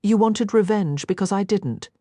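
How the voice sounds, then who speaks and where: A woman voicing a flustered young gentlewoman who talks in a babbling, apologetic, tearful way. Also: mid-range voice, normal speed, dry recording, voice pushed up, close to an everyday voice. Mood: defiant.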